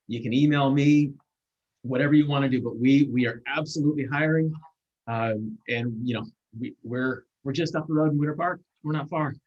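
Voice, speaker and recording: A man in his forties, a guest speaker, giving a measured, earnest talk over a video call, with fillers and restarts. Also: medium pitch. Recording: muffled video call audio.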